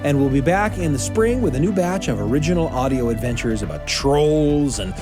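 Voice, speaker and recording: A man hosting a family podcast, speaking in an animated, emphatic storyteller's way. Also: fairly high pitch, a little fast, over music. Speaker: podcast host. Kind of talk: warm-up chat and scripted podcast hosting for families.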